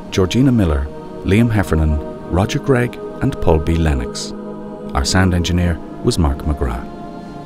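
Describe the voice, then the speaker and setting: A man reading scripted closing credits as an announcer. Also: sits low.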